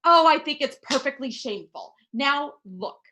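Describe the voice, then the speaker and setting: A woman's voice: high voice, lively intonation, moderate pace, sharp tone, a little hiss or hum. A woman playing a nervous, frail, imperious housewife in a radio play, fretful and dramatic. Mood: indignant, demanding.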